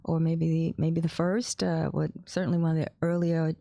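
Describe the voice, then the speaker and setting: A woman hosting a casual interview, asking halting questions full of fillers. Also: deep voice, level tone, gravelly, dark tone, no room sound. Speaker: host.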